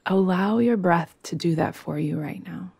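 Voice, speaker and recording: A woman teaching yoga nidra, guiding a meditation in a soothing, gentle way with long, unhurried pauses. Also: fairly low pitch, creaky, breathy edge, no echo. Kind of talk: guided meditation.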